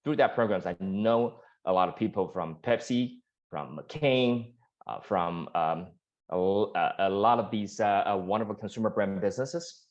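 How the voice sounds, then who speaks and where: Earnest man on lo-fi audio. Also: moderate pace. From Taiwan. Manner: earnest, many restarts and fillers, rises in pitch when animated. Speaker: startup founder pitching. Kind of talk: pitch Q&A answers. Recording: dull lo-fi sound.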